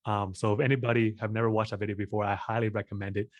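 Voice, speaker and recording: A man's voice: fairly low pitch, level tone, racing, no echo. A man hosting a personal finance interview, asking questions in a casual way with many fillers. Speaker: host.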